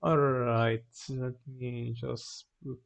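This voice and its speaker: Halting male game streamer. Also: medium pitch, level tone, gravelly. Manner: halting, informal, rambling. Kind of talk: casual livestream chat over gameplay.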